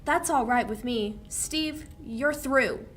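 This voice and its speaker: A young woman playing a sharp, accusing, challenging woman in a 1940s radio play. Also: sits high, takes it slowly, heavy background noise. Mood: taunting. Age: young adult. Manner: biting, challenging.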